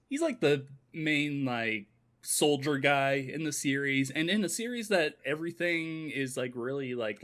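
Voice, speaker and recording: Male podcast co-host, mid-range voice, informal explainer in relaxed conversation. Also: mid-range voice. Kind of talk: casual chat.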